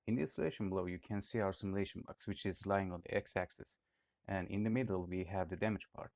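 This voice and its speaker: A man's voice: deep voice, fast talker, a little mellow, dry recording, studio quality. A young man from Turkey reading out a student project presentation, with occasional hesitations. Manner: read-aloud explanation with occasional "uh" hesitations.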